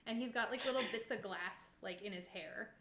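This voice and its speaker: A woman's voice: mid-range voice, normal speed, crowd noise. Woman game master in an echoey room. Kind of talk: casual improvised tabletop game narration. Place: indoor room with fellow players. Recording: echoey room, faint background noise.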